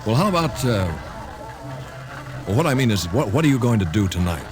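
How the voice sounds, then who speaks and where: An actor playing a polite but persistent newspaper reporter in a classic radio drama, questioning in a halting, conversational way. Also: male voice, medium pitch, sing-song, music in the background, lightly acted. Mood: polite probing.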